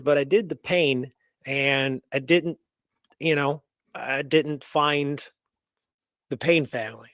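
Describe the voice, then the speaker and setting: A man's voice: a little high, no room sound, studio quality. A man hosting a casual talk on research, conversational and thinking aloud as he presents. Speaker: host.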